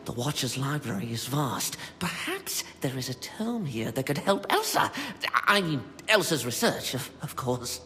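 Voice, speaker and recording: Flustered, high-swooping bookish male apprentice. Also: big pitch swings, measured pace, sharp tone, faint music behind. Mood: musing, eager. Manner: stammering, fussy, self-correcting, nervous. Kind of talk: video game character dialogue. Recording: long reverb, faint background noise.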